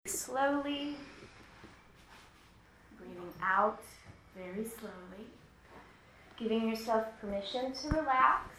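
A woman leading a guided meditation in a soothing, unhurried manner, with long pauses between phrases. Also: a little high, lively intonation. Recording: roomy echo, clearly noisy background.

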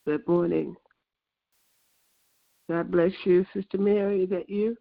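A hoarse, congested prayer leader speaking over a tinny phone line, with a deeply gravelly, creaky texture. The delivery stays flat and even, a slow measured litany with small pauses. The line is dry and close, with no room sound. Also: no room sound. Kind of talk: spoken prayer on a call.

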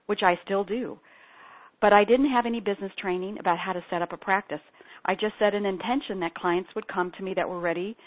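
A middle-aged woman, a spiritual practitioner and singer-songwriter, telling her personal story in an earnest, heartfelt way. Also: fairly low pitch. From Ethiopia. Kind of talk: personal testimony talk. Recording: tinny phone-like sound.

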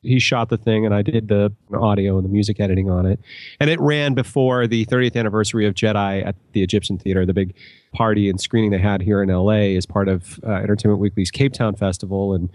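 A man's voice: on the low side, steady tone, quick pace, a little mellow, no room sound. A middle-aged American man chatting casually as a podcast guest, telling anecdotes.

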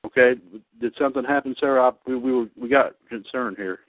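A man in his thirties hosting a casual online radio show about wrestling. He talks haltingly, with many fillers and restarts. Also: medium pitch, dry recording. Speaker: podcast host. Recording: tinny phone-line sound, dry.

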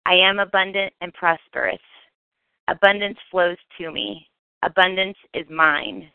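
A woman guiding a meditation, reading scripted affirmations in measured, deliberate short phrases. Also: lowish voice, vocal fry, clear, resonant tone, dry recording. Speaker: guided meditation narrator. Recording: tinny phone-like narrow band, dry.

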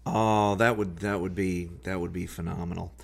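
A man's voice: deep voice, fairly even intonation, moderate pace, creaky. A man hosting a radio interview in a conversational way.

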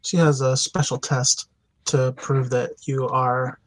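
A man on a podcast panel recounting game events casually, in a halting, matter-of-fact way. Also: mid-range voice, little pitch movement, no echo, poor audio.

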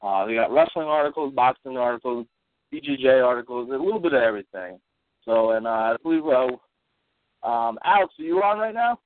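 A man's voice: medium pitch, lively intonation, no room sound. A congested man hosts a casual call-in podcast, stumbling through fillers and restarts. His voice comes over a tinny, narrow phone line. The sound is dry and close, with no room at all. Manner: halting, many fillers and restarts. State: stuffy from allergies and a cold. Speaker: podcast host.

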